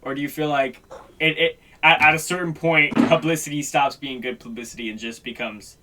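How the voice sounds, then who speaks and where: A man's voice: fairly high pitch, fairly even intonation, fairly quick, very noisy. A man from Canada conducting a casual, informal interview, asking rambling questions.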